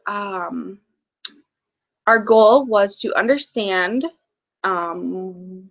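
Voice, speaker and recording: A woman teacher giving a read-aloud lesson to young children in a halting, explanatory way. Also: medium pitch, fairly bright tone. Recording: dull lo-fi recording.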